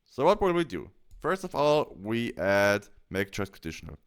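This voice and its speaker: A man walks through a software tutorial step by step. His voice is fairly low and clear, with very lively, swinging intonation. He speaks as a presenter explaining what to do next. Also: normal speed.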